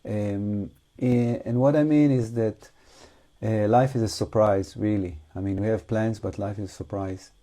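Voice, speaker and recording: A man with a fairly low, very soft and dark voice teaches meditation in non-native English. He speaks off the cuff in a reflective, halting way, leaving long pauses between short phrases. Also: fairly even intonation. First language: not English. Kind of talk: unscripted guided meditation talk.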